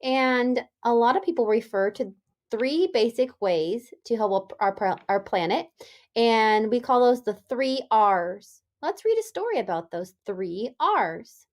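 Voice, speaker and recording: Female elementary teacher, animated read-aloud for young children, slightly high pitch, very slow deliberate delivery, question-led.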